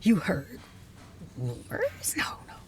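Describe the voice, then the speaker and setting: A woman playing a haughty, flustered reclusive scientist in a close ASMR roleplay, halting and repeating herself as she gives orders. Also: lowish voice, huge pitch swings, exaggerated voice. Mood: suspicious. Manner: halting, repetitive, sighing, imperious.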